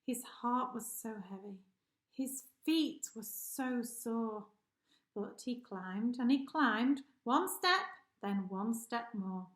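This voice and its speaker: A woman's voice: a little high, animated, takes it slowly. A woman reading a rhyming children's story aloud expressively, like a teacher addressing pupils. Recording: echoey room.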